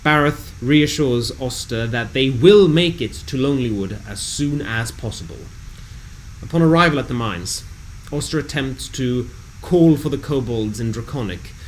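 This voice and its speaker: Male storyteller for a tabletop recap: medium pitch, dramatic and measured, slight background hum. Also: low-quality recording. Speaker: narrator reading a tabletop game recap. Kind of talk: dramatic scripted recap narration.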